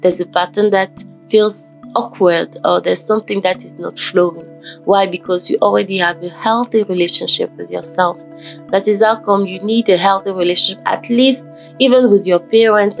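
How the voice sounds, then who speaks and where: A woman from Ghana talking casually in a motivational vlog, with a croaky just-woken voice and a rambling manner that repeats and corrects itself. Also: medium pitch, fairly even intonation, normal speed. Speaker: vlogger. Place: forest fitness trail outdoors. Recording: dull lo-fi audio with faint background music.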